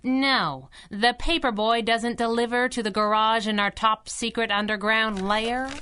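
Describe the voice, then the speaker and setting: A woman's voice: on the high side, fairly even intonation, measured pace, sharp tone, a little hiss or hum. An actress playing a spunky, wisecracking young female sidekick and mechanic in a radio drama, with a Canadian accent. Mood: teasing. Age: young adult. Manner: sassy, teasing banter. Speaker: hero's sidekick.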